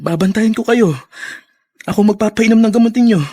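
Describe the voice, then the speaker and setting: A Filipino man playing a devoted, emotional son in a radio drama, husky and earnest. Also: high-pitched, a little fast, dark tone, no echo. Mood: caring, concerned. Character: devoted, emotional son shocked by his father's confession. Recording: music under the voice.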